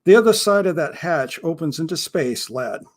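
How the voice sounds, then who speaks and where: A man voicing a gruff, wary old male pirate with a rumbling baritone and a salty pirate-style accent, calling everyone lad. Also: lively intonation, normal speed. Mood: grim. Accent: pirate-style rustic accent.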